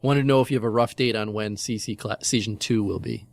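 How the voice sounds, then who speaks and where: A man's voice: lowish voice, steady tone, fast talker, no echo, studio quality. A man co-hosting a gaming podcast in casual conversation. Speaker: podcast co-host.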